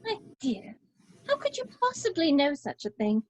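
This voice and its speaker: Woman playing a very high, swooping genteel mother. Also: sing-song, moderate pace. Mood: puzzled. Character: Winifred, genteel puzzled mother. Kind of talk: amateur script read-through.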